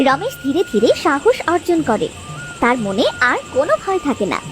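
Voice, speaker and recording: A woman's voice: high voice, big pitch swings, normal speed, over music. A woman narrator reading scripted storytelling narration for a children's cartoon.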